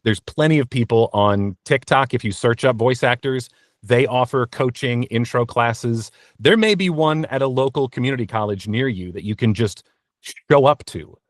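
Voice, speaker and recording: A man's voice: medium pitch, moderate pace, no echo. Male interview guest, conversational and practical, explains things plainly, close dry recording. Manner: practical, explanatory advice-giving.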